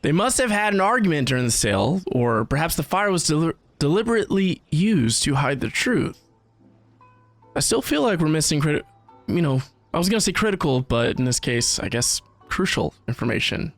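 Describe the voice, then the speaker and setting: A man doing casual gameplay reaction commentary in an expressive, wry, colloquial way. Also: fairly high pitch, brisk, no echo. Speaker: streamer reacting to a game. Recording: faint game music behind.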